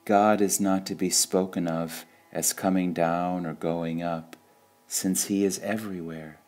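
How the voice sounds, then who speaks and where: Slow, low-pitched man reading spiritual sayings. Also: steady tone, a little mellow. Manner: measured, deliberate, with pauses between short phrases. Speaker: reader of spiritual quotations.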